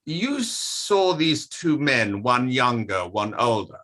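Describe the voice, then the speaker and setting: A man reading an insistent defence barrister in a courtroom play, pointed and deliberate, with dramatic pauses. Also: mid-range voice, big pitch swings, sharp tone, heavily acted. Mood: pointed, needling. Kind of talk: table read of a courtroom play.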